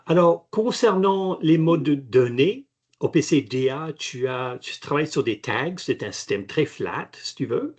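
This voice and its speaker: A man, a native French speaker, answering technical questions on a webinar in a halting way with many restarts. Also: on the high side, animated, a little mellow, slightly rough. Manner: halting, frequent restarts and fillers. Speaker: company chairman, guest expert. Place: video call.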